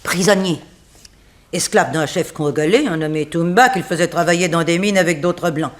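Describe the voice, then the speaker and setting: A woman playing a composed, unsentimental figure in a 1960s French radio mystery, telling things dramatically with weighted pauses. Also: lowish voice, natural voice. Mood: dramatic. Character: composed, unsentimental woman recounting a family tragedy. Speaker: actress in a radio serial.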